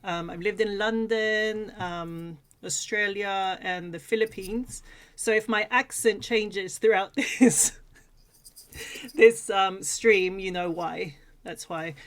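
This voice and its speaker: Female livestream co-host from Sweden, chatty and giggly, takes her time speaking. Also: medium pitch. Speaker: co-host of a livestream talk show. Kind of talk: casual livestream chat.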